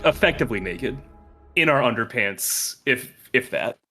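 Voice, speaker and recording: A man with a medium-pitched voice chats casually as a player in a tabletop role-playing game. He speaks conversationally, with faint music behind him. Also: animated, normal speed, pushed and bright. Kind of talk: casual chat, describing his character's actions.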